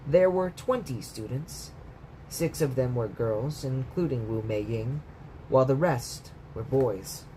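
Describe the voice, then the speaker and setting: A man's voice: mid-range voice, slow pace, mellow, rough recording. A man reading a bedtime story aloud as a storyteller, in scripted narration. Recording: clear background noise.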